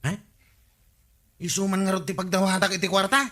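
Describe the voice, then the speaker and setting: A man's voice: sits high, little pitch movement, fairly quick, bright, forceful tone. A man playing a cheeky village councilman who wheedles for money in an Ilocano comedy radio drama. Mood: wheedling.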